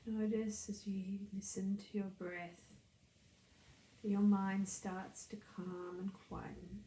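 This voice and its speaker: A woman leads a guided meditation as a breathing teacher, speaking in a soft, soothing, gently instructive way that turns breathy at times. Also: mid-range voice, level tone, takes it slowly. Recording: roomy echo, faint background noise.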